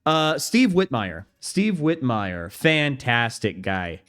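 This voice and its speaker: A man's voice: medium pitch, sing-song, slow, a bit bright. A young man streaming a video game, chatting casually with his viewers, reading their messages aloud and laughing easily. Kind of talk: casual gameplay commentary.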